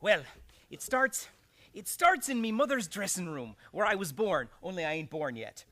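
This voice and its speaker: Excitable, high-voiced chatty cop. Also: male voice, normal speed. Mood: eager, chatty. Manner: gushing, colloquial tough-cop diction. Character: dim, eager policeman and would-be playwright, chatty and excitable. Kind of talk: live old-time radio comedy acting.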